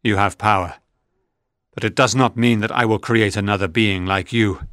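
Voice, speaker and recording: A man's voice: fairly low pitch, steady tone, normal speed, fairly bright tone, no room sound, clean studio sound, natural voice. A man playing an anguished young scientist. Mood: defiant. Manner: anxious, crying out. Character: anguished young male scientist, guilt-ridden.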